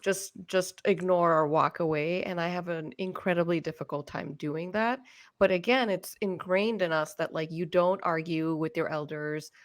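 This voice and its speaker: A woman co-hosts a podcast, speaking in an earnest, measured way. Her voice is fairly low, and the sound is dry and close with no room echo. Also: steady tone. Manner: earnest and measured, with frequent agreeing interjections. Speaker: podcast co-host. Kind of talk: casual podcast conversation.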